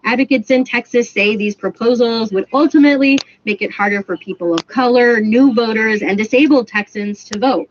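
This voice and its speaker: A woman's voice: fairly high pitch, brisk, few pauses. A woman reading a scripted radio news report as a reporter. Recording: dull, faintly noisy radio playback.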